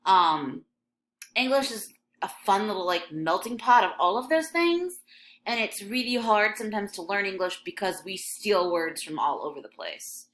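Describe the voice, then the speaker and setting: A woman's voice: mid-range voice, animated, moderate pace, a bit bright, slight background noise. A young woman from Michigan with a slight Michigan accent, teaching an English lesson in a casual, chatty way full of ums and likes. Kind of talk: recorded classroom lesson over a scrolling text.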